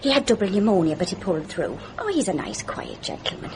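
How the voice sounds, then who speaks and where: An eager, chatty female gossip in a radio mystery drama, telling her news with lively, swooping intonation at a medium pitch. Clear background noise sits behind the voice. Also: fast talker. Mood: eager, confiding. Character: eager, chatty woman trading gossip over tea.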